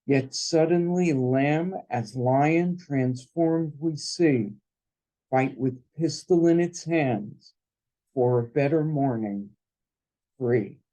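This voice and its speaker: A man reading a poem his mother wrote, in a solemn, deliberate manner, after a brief introduction. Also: mid-range voice, dark tone, low-quality recording. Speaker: son of the poet, introducing and reading. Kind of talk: slow, measured poetry reading.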